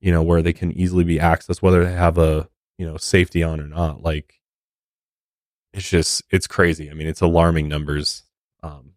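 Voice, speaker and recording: A man's voice: deep voice, little pitch movement, moderate pace, darkish tone, no room sound, studio quality. An American man co-hosting a podcast, talking casually and earnestly in colloquial language. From United States. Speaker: podcast co-host.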